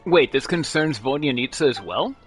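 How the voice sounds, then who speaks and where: A man streaming a video game, chatting casually and excitably, rambling with lots of fillers and restarts. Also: medium pitch, normal speed, breathy edge. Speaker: gaming streamer. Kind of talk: casual live commentary while gaming. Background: faint game music.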